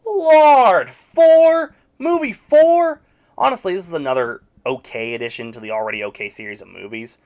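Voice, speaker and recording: Wry man with a lively, swinging voice. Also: high-pitched, normal speed. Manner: wry and sarcastic, with mock-exasperated outbursts. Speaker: video game movie reviewer. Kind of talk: scripted casual review voice-over.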